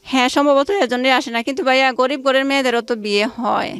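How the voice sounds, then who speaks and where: A woman's voice: high-pitched, quick pace, a bit bright, clear, resonant tone. A woman. She tells her own story informally, as an interview guest, relaying who said what in reported dialogue. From Bangladesh. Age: late 20s. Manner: recounts events through reported dialogue. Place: radio studio.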